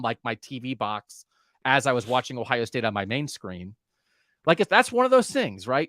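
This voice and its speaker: A man hosting a sports podcast, talking casually in an animated way and asking his co-host questions. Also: a little high, no room sound, clean studio sound.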